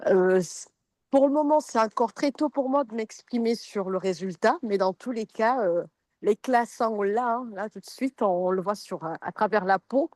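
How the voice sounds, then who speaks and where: A woman's voice: on the high side, moderate pace, darkish tone, dry recording. A woman sharing a hesitant, friendly customer testimonial on a video call. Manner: hesitant, many fillers and restarts, friendly.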